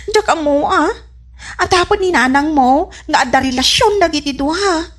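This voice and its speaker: Woman as high-voiced, gossipy young wife. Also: lively intonation, unhurried, fairly bright tone. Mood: suspicious, insistent. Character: young wife, gossipy and suspicious, confiding in husband. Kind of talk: comedy radio drama acting in Ilocano.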